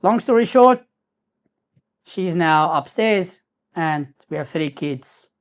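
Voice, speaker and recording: A man's voice: on the high side, animated, slow pace. Man telling a rambling story. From South Africa. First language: Afrikaans. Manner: rambling, restarts, sprinkles in Hebrew terms. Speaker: YouTuber. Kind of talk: casual storytelling to camera.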